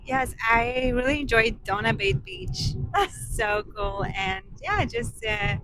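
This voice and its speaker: Female guest, upbeat and halting, bright tone. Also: a little high, lively intonation, a little hiss or hum, rough recording. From Poland. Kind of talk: casual chat. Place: inside a car.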